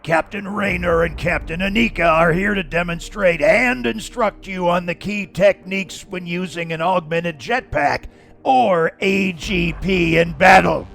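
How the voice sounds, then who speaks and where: A man's voice: high-pitched, a little slow, creaky, heavily acted. A man playing a commanding drill sergeant who barks at army recruits in an audio drama. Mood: stern, proud. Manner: commanding, shouted military delivery.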